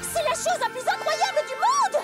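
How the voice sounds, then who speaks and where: A woman voicing a hyperactive, excitable young pony mare in a French cartoon dub, exaggerated and over-excited. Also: very high voice, moderate pace, loud music behind the voice. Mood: wildly excited.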